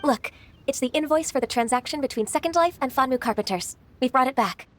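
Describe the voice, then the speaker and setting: A solemn, earnest young official in a video game. Her voice is high, and she speaks formally and courteously in measured phrases. There is faint noise in the background. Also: animated, fairly bright tone. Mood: calm, reassuring.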